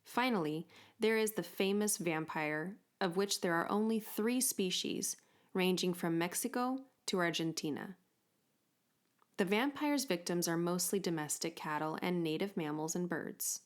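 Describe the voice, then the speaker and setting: A woman reading a children's book aloud as an expressive storytime narrator. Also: lowish voice, a little slow.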